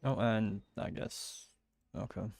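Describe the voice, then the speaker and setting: A man's voice: lowish voice, slow pace, creaky, darkish tone, no echo, soft background music. A man giving casual gameplay commentary as he plays. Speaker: game streamer commenting on gameplay.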